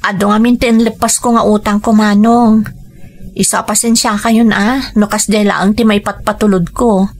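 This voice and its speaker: A woman's voice: medium pitch, little pitch movement, normal speed, lightly acted. Woman acting weary Ilocano younger sister, music behind. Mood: hesitant, apologetic. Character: weary, dutiful younger sister working abroad. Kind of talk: Ilocano radio drama narration and dialogue.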